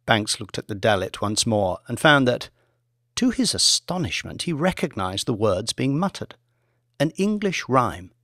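Male narrator for dramatic fiction audiobook: medium pitch, animated inflection, dry close-mic sound. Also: measured pace, no room sound.

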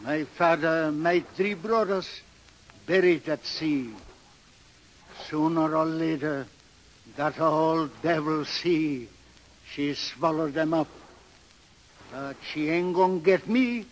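An actor playing a fond, superstitious old Swedish barge captain in broken English, in a 1930s radio drama. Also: male voice, fairly high pitch, slow, long pauses, a little hiss or hum, poor audio. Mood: bitter.

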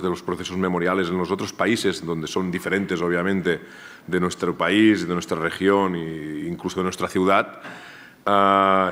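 A man with a Catalan accent and a very low voice lectures at a seminar table in a university room. He speaks loosely, often stopping to repeat a word or restart a phrase. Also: a bit bright. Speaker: academic speaker. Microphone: table microphone, far camera.

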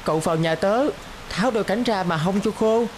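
A woman's voice: mid-range voice, brisk, a little hiss or hum, natural voice, poor audio. A woman voicing a lonely, eager little boy in a cartoon, childlike and earnest. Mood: friendly, eager. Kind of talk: cartoon voice acting.

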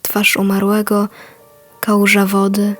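A woman reciting a poem in a hushed, partly whispered way. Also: mid-range voice, monotone, unhurried, faint music behind. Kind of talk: poetry recitation.

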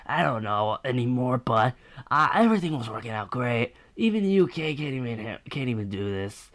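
A rambling French character, put on by an amateur voice actor, pitched well below the performer's usual voice. The manner is offended, dismissive and lazy. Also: lively intonation, a little fast, lightly acted.

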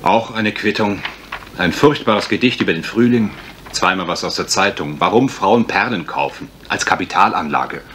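An actor playing a brisk, wry husband turned amateur detective in a German radio mystery, polite, dry and assured. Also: male voice, sits low, a bit bright. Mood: wry, pondering. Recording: faint noise, retro radio play.

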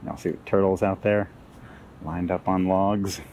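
A man strolls through a city park and talks to the viewers in a relaxed, wry way. His voice sits very low. A faint outdoor ambience runs under it from the camera mic. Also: unhurried, a little hiss or hum. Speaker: walking tour guide. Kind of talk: casual narrated walking tour.

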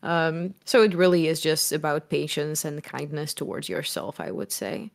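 Young woman, low voice, non-native English speaker, hesitant guest in a casual podcast chat. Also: normal speed, vocal fry. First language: not English. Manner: hesitant, many fillers.

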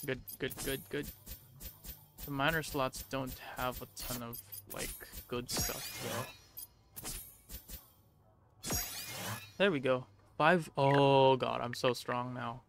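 A man's voice: mid-range voice, fairly even intonation, slow pace, creaky, pauses often. A man giving casual let's play commentary, muttering to himself and repeating words as he plays. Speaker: gaming video creator. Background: game music.

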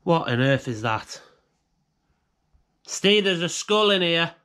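A man's voice: a little high, very animated, slow pace, sharp tone. A British man giving casual commentary to viewers as he explores indoors with a handheld camera. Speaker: urban exploration vlogger. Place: inside rooms of an abandoned house. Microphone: handheld action camera on gimbal.

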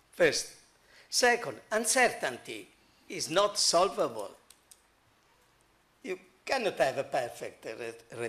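A middle-aged man with an Italian accent giving an academic conference talk in a hall, halting and full of fillers. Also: a little high, fairly bright tone. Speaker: conference speaker.

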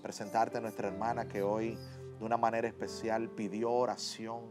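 A low-pitched man with a Dominican Spanish accent leads a studio prayer, slow and fervent, repeating each invocation. Soft piano plays clearly under his voice. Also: level tone. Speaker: host. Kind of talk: leading a spoken prayer on a TV show. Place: TV studio set. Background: soft piano music.

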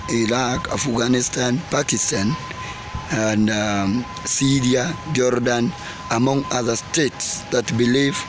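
A man in his forties giving opinionated news commentary to camera, halting and emphatic, repeating his phrases, recorded outdoors at arm's length. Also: mid-range voice. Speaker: vlogger. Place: outdoors by parked cars and trees. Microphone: phone or camera at arm's length. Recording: noisy outdoor recording with traffic ambience. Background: outdoor ambience and vehicles.

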